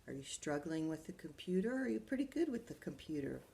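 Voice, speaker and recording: A woman with a fairly low voice reads a picture book to children. She is expressive, like a librarian at story time, and breaks off to put questions to her listeners. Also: fast talker, vocal fry. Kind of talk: children's story read-aloud with asides to young listeners.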